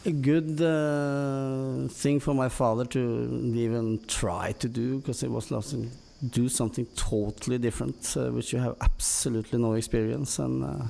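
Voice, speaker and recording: Gravelly Norwegian man, halting storyteller. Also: mid-range voice, steady tone, mellow. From Norway. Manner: halting, hesitant delivery with frequent long hesitations. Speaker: podcast guest. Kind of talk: casual personal storytelling.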